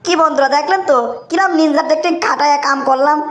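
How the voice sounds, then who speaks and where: A woman voicing a broke, scheming young man in a cartoon, talking in a fast, whiny, wheedling way, with a Bogura Bangla accent. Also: high-pitched, lightly acted. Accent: Bogura dialect of Bangla.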